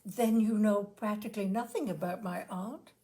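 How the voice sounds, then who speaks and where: A woman's voice: mid-range voice, moderate pace, warm tone, lightly acted. A woman voicing a self-possessed fifteen-year-old girl from a storybook, with a poised, confiding, dramatic manner. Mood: poised, probing.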